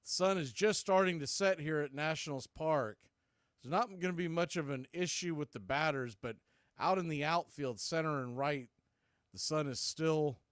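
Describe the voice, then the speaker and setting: A man's voice: fairly high pitch, smooth, no echo, clean studio sound. A man doing live radio baseball commentary in clipped, conversational phrases, hosting the play-by-play.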